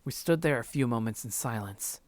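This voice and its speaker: A let's play host reading a visual novel aloud dramatically, with slangy, expressive casual commentary. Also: mid-range voice, normal speed, no room sound.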